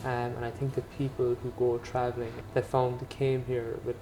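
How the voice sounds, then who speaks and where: Young Irish restaurateur, flat and quick, relaxed, music bed. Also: male voice, medium pitch, low-quality recording. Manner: relaxed, hesitant with fillers. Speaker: restaurant owner. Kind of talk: seated interview. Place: indoor restaurant.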